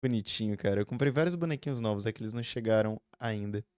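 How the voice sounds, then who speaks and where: Chatty young man, low voice, dry sound. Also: fast, darkish tone, clear, resonant tone, dry recording. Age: 20s. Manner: rambling, chatty, frequent fillers and tag questions. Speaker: vlogger. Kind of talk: casual vlog chat. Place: home room.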